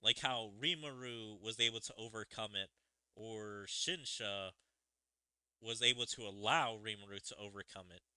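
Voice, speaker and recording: A man's voice: lowish voice, moderate pace, bright, forceful tone, clear, resonant tone, dry recording. A man giving casual commentary as the host of a gaming video.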